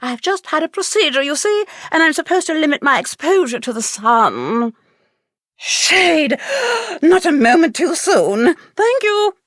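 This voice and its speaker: An actress playing a pampered, demanding and dramatic spa guest in a game. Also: female voice, high-pitched, very animated, unhurried, a bit bright, no room sound, studio quality, full character voice. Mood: imperious, relieved. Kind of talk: game voice acting.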